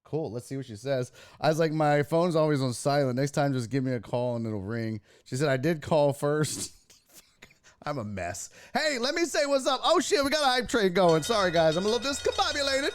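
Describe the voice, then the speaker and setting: Raspy hoarse dude hosting his stream, voice jumps all over, dry close sound. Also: fairly high pitch, sing-song, fairly quick, no room sound. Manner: crude, self-mocking banter with chat. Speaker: host. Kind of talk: casual livestream sports talk.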